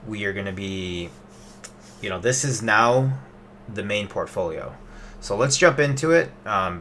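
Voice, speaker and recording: Chatty young man, bright forceful tone. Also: fairly low pitch, slight background noise. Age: 20s. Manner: loose and chatty, many fillers and restarts. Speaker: investing vlogger. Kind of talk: casual rambling webcam update. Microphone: webcam.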